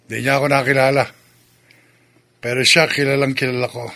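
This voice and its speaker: A scheming, lovestruck male aswang with a gravelly, mid-pitched voice. He sounds confident and menacing, acted in the heightened style of horror radio drama. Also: little pitch movement, fairly quick, bright, forceful tone. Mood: smug, possessive.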